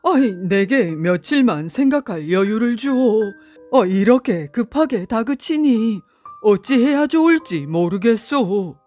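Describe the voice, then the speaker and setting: Young male scholar for storybook tale, woman performing: high-pitched, earnest, flustered, archaic phrasing. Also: lively intonation, measured pace, a little mellow, faint music behind. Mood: flustered, hesitant. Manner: archaic, pleading, indignant speech. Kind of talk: theatrical folktale storytelling.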